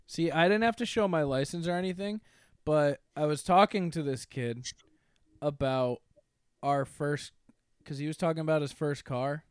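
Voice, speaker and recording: A young man with a high-pitched voice co-hosting a casual podcast, recorded dry and close. He tells stories animatedly, acts out what others said and laughs as he goes. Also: moderate pace, clear, resonant tone, no room sound. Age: 20s. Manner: animated storyteller, acts out quotes, laughs while telling. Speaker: podcast co-host. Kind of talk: casual chat.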